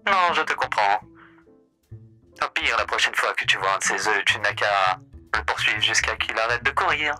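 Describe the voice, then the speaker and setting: A man's voice: fairly low pitch, animated, normal speed, sharp tone, soft background music, poor audio. A man voicing a suave, theatrical male demon who runs a hotel, courtly and mocking in a voice roleplay. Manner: grandiloquent, courtly and sardonic.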